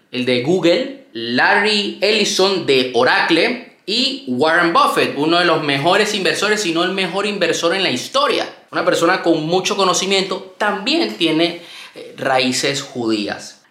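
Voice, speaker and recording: A man's voice: a little high, pushed and bright, reverberant. A man from Venezuela giving a motivational talk to camera on his YouTube channel, speaking earnestly and straight to the viewer. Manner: earnest, addresses viewer directly. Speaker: YouTube self-help creator.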